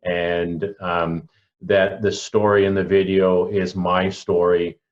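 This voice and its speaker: A Canadian man in his early sixties giving personal testimony on a panel, reflective and deliberate, repeating himself for emphasis. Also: low-pitched, level tone, dull audio. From rural Alberta, Canada. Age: early 60s. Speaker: panelist, local pastor.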